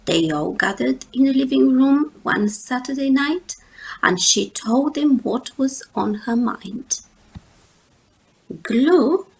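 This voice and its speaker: A woman reading a children's picture book aloud in a measured, expressive storytime style. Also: high-pitched, vocal fry, husky, poor audio. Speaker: storytime reader.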